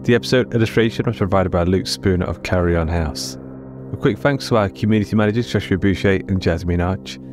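Man, lowish voice, podcast host, scripted credits, prominent music underneath. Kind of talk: scripted outro announcement. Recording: music behind the voice.

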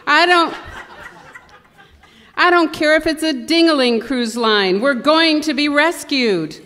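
A woman's voice: sits high, expressive, takes it slowly, sharp tone, heavily acted. Woman playing theatrical tourist in echoey hall. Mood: eager. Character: exasperated stranded woman tourist, easily fed up.

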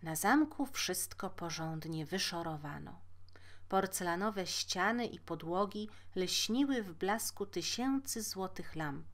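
A woman reading a fairy tale aloud as a storyteller, measured and expressive. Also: fairly low pitch. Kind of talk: scripted fairy-tale narration.

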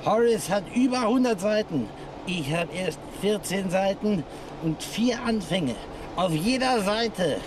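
Boozy, slow-talking man. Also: high-pitched, animated, noisy background. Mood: defiant. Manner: tipsy, rambling, grumbling. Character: boozy, boastful, frustrated male would-be crime novelist. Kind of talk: German radio play acting.

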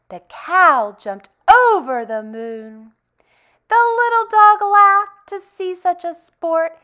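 Very animated, high-pitched woman reading to kids. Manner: sing-song, exaggerated, animated enunciation. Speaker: storytime host for young children. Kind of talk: read-aloud for preschoolers.

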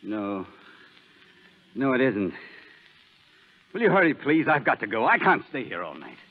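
A man's voice: medium pitch, sing-song, a little slow. A man playing an injured, secretive stranger in 1930s radio drama, with a curt, formal manner. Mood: tense, impatient. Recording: muffled, phone-like 1930s radio recording.

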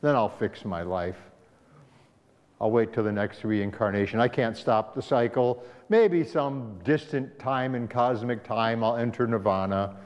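A man's voice: mid-range voice, unhurried, darkish tone. A male pastor teaching a Sabbath school class, talking it through conversationally and asking the class questions. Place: church sanctuary. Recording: dull echoey church hall recording.

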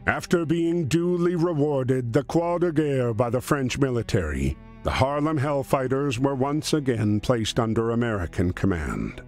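Male narrator for war story game: solemn scripted read, unhurried, lively pitch movement, music bed. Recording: music behind the voice.

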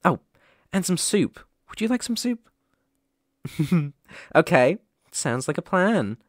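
A man's voice: high voice, sing-song, slow pace, no room sound, heavily acted. A young man playing a caring, playful boyfriend looking after his sick partner in an intimate, soothing ASMR roleplay, close to the microphone. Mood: tender, upbeat. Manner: gentle, soothing, affectionate, chuckling often. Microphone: close microphone, dry.

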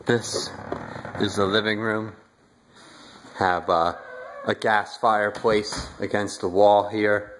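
Slow, low-voiced man walking a house tour. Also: low-quality recording. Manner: relaxed, halting, many fillers. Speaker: homeowner giving a house tour. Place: inside a house, walking room to room. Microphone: handheld phone camera, filming rooms.

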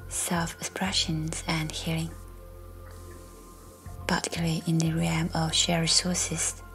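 Woman, meditation guide, low-pitched, even tone, soft breathy near-whisper, soothing, scripted narration. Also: slow, rough recording. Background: music.

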